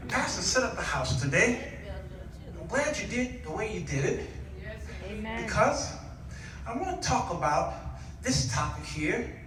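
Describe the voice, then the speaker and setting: An older man giving a conversational storytelling sermon with dramatic pauses and homely acted-out dialogue. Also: high voice, sing-song. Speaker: guest preacher. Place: church, picked up from a distance. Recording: echoey room, distant mic, noisy background.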